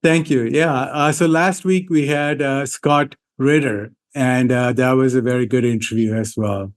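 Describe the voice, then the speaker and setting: A man hosting an interview podcast, speaking haltingly with many fillers. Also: mid-range voice, fairly even intonation. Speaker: podcast host.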